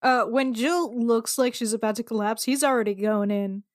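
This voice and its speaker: A woman with a slightly high voice talks as a tabletop game player. She casually describes what her character does, recorded dry without room sound. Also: moderate pace, no room sound. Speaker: player in a tabletop roleplaying podcast.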